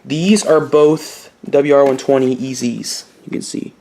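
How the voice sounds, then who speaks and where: A man's voice: mid-range voice, fairly even intonation, unhurried, dark tone, a little hiss or hum. A man hosting an informal collection showcase, explaining items casually and giving buying tips. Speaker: YouTube collector and host.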